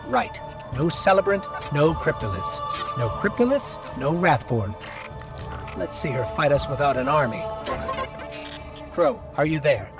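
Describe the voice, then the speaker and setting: An actor playing a resolute, authoritative field leader in a video game, brisk and commanding. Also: male voice, fairly high pitch, very animated, music in the background. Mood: determined. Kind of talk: video game dramatic dialogue.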